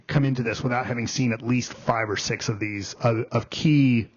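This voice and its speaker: A man with a medium-pitched voice gives his opinions in a casual panel discussion. He speaks as a critic, stressing points by repeating them. The recording sounds a touch dull. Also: fairly even intonation, normal speed. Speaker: film critic co-hosting a review show. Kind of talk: casual panel review chat. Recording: slightly dull, band-limited audio.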